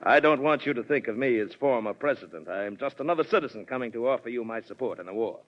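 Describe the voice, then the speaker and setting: An American actor playing a hearty, bellicose former president, an old colonel, in 1940s radio drama style. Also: male voice, mid-range voice, animated, quick pace, close to an everyday voice. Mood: forceful, urgent. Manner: jovial, emphatic, oratorical. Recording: dull vintage 1940s radio recording.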